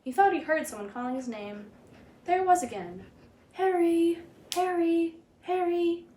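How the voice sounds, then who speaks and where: A woman reading a children's picture book aloud in an expressive, dramatic storytelling manner. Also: fairly high pitch, brisk. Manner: animated, dramatic read-aloud delivery. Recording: roomy echo, background noise.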